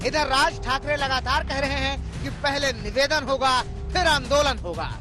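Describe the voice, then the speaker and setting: A man's voice: extremely high pitch, moderate pace, music in the background. A man reading a scripted television news report as a reporter.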